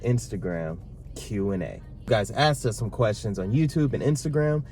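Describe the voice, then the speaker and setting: A man in his thirties co-hosting a casual sit-down Q&A with his partner, talking in a relaxed, rambling way. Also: on the low side, normal speed, soft background music. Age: 30s. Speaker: vlog co-host.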